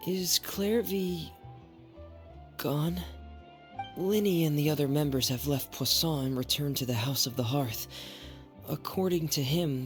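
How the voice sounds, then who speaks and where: An actor playing a quiet, sincere young man in a video game, speaking in a hesitant, subdued and reflective way. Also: a little high, natural voice. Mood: relieved, hesitant. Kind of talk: dramatic game voice acting.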